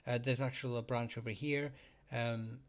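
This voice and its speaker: A man hosting a live chat, talking haltingly with many fillers and restarts, his voice getting hoarse as he keeps clearing his throat. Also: mid-range voice, fairly even intonation. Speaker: livestream host answering surname questions. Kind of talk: casual live-chat talk.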